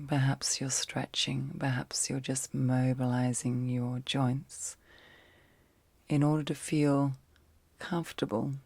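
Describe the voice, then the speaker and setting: A woman speaks very softly, often slipping into a breathy whisper, as she guides a sleep meditation. Her delivery is slow and fairly even, with gentle, soothing phrases set apart by long pauses. There is a creaky fry in the voice, and the sound is close and dry, in an ASMR style. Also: a bass voice, no echo.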